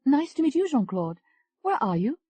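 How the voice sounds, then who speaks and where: A woman playing a lively flirtatious Englishwoman. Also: high-pitched, normal speed, no echo, voice pushed up. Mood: eager. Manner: bright, eager, teasing.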